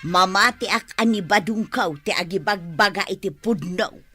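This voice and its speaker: Lady acting as outspoken loyal filipino wife, sharp animated voice, music under. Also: medium pitch, moderate pace, lightly acted. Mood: defensive. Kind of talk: Filipino radio drama acting.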